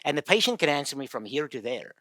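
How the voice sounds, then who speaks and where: South African man explaining with bright energy. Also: fairly high pitch, big pitch swings, quick pace, no echo. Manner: storytelling, laughs easily, quotes patients. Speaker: guest pain expert. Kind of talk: casual explanatory chat.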